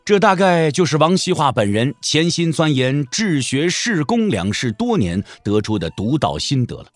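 Animated male wuxia storyteller, dry studio sound. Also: fairly high pitch, moderate pace, dry recording, faint music behind. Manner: expressive, wry, colloquial storytelling. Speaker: narrator of an audio drama. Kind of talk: dramatic storyteller narration of a wuxia novel.

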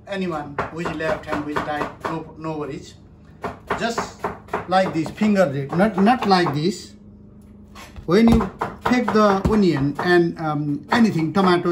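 A middle-aged male chef talks in halting, broken English, a few words at a time as he works. He is recorded on a phone in a busy restaurant kitchen. Loud chopping and clatter sit right over his voice. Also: high-pitched, background noise. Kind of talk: casual explanation while demonstrating. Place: commercial restaurant kitchen, indoors.

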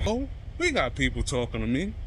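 A man's voice: medium pitch, huge pitch swings, brisk, a bit bright, slight background noise. An American man talking casually in a chatty, colloquial way as he films a walking travel vlog outdoors. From United States. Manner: laid-back, colloquial, chatty. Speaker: travel vlogger. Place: outdoors on town streets.

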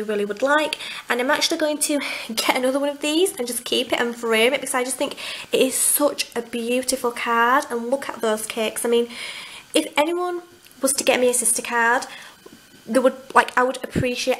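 A woman's voice: on the high side, low-quality recording. A young woman in a casual haul video at home, gushing over cute finds while showing them to the camera. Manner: gushing, enthusiastic about cute items. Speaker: vlogger. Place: home, indoors at a table.

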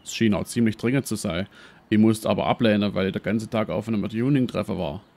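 Self-important professor played by a man, low-pitched, Swabian accent, brash and shady, for a comic detective audio drama. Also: fast, vocal fry, a little mellow. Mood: explaining. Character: brash, shady male history professor and treasure hunter. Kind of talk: parody detective radio play.

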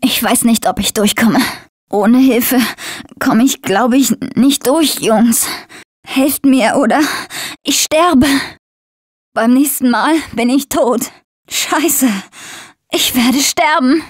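A woman's voice: high voice, slow pace, long pauses, dry recording, studio quality. An actress playing a tough, sarcastic young woman surviving a zombie apocalypse in a dubbed video game. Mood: hurt, worn down. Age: young adult.

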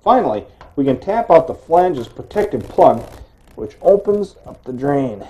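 A man hosting a home improvement show, giving plain, steady step-by-step instructions as he demonstrates the work. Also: high voice, a little slow, vocal fry, husky, muffled recording.

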